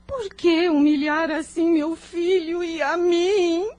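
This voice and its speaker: A woman's voice: extremely high pitch, fairly even intonation, slow pace, a little mellow. A woman playing a protective, aggrieved mother in a religious radio drama, with formal, emotional speech. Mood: tearful, indignant.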